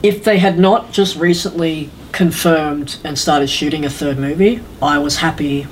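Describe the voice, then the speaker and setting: A man's voice: on the high side, steady tone, a little slow, rough recording. A man talks casually as a podcast co-host. His manner is laid-back, slangy and enthusiastic, and there is faint noise behind his voice.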